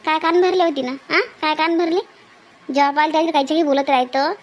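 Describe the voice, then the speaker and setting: A woman acting as a stern older cartoon mother speaks in a very high voice with a Vidarbha Marathi accent. She is reproving and insistent, repeating herself as she scolds. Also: steady tone, fast, lightly acted. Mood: scolding. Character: stern older mother defending her daughter-in-law. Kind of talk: amateur cartoon family drama.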